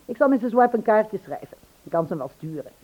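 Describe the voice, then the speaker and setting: An actress playing a vivacious, affectionate, inquisitive wife in a Dutch radio play, with a gushing, teasing manner. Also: female voice, medium pitch, big pitch swings, quick pace, husky. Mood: chatty. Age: young adult. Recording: thin, phone-like old radio recording.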